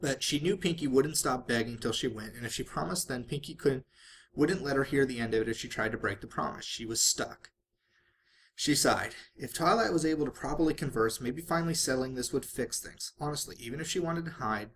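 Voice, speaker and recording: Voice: mid-range voice, brisk, fairly bright tone, slight background noise. An amateur reader narrating a story aloud in the manner of a home-made audiobook. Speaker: fan fiction reader.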